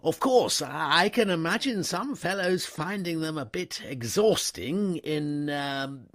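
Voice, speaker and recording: A man voicing a bright, excitable upper-class young English gentleman who stammers and chatters in breezy slang. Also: a little high, slow, voice pushed up, heavily acted. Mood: flustered.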